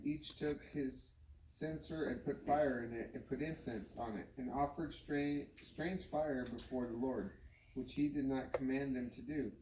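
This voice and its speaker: A man reads scripture aloud in a halting way, stumbling over words and starting them again. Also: medium pitch. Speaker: Bible reader. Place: home living room. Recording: roomy, distant mic, faint noise.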